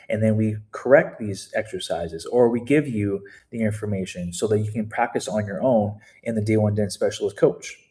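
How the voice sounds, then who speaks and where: A man's voice: medium pitch, a little mellow. A man from Canada with a Canadian accent, a fitness coach giving an unscripted video walkthrough in a conversational, digressive way, talking straight to his viewers.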